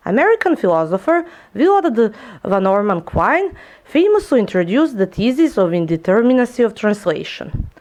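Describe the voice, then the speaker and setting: A young woman whose first language is Serbian, presenting a research paper in a clear, explanatory way with a few small slips. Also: fairly high pitch, darkish tone. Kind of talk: prepared lecture presentation.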